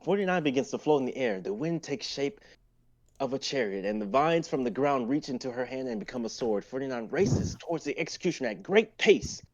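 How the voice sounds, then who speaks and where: A man's voice: mid-range voice, expressive, a little fast, highs cut off. A young man narrating stage directions in a live amateur script reading. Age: young adult.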